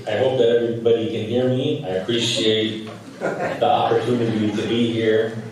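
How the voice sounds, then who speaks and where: A man's voice: fairly low pitch, flat delivery, darkish tone. Echoey, distant man on a call. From Chicago, United States. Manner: earnest, measured, with frequent fillers. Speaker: guest speaker, physician. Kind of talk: personal testimony speech. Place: remote video call. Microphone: video call.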